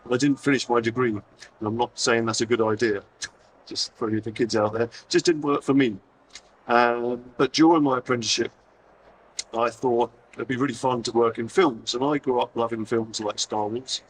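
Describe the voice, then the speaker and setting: A hoarse middle-aged man, losing his voice, giving an informal live talk to an audience, self-deprecating and full of fillers and restarts. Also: mid-range voice, fairly even intonation, moderate pace, distant mic, a little hiss or hum, rough recording. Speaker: conference speaker. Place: convention hall stage.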